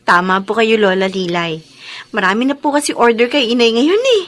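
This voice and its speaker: A woman playing a gentle, forgiving young daughter in a Filipino radio drama, speaking softly and respectfully with a breathy voice. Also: on the high side, normal speed, close to an everyday voice.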